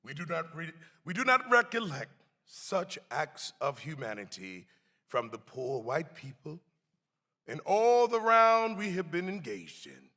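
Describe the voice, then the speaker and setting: Slow, sweeping male dramatic reader in a hall. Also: on the high side, very animated, bright, forceful tone. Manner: theatrical, deliberate delivery with swelling emphasis. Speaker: actor reading aloud. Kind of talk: dramatic reading of a historic pamphlet. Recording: long hall reverb.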